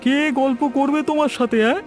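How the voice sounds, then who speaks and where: A man's voice: very high-pitched, steady tone, fast, soft background music, voice pushed up. A man voicing a cowardly, easily panicked village man in a cartoon. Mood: casual.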